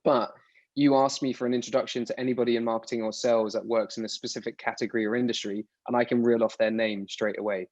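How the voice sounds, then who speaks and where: Man from the UK, 30s, chatty rambling guest, mid pitch, muffled lo-fi audio. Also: flat delivery, fast talker, a little mellow, no echo. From United Kingdom. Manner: rambling, restarts words often. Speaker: podcast guest. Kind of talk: casual interview answers. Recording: dull lo-fi dry sound.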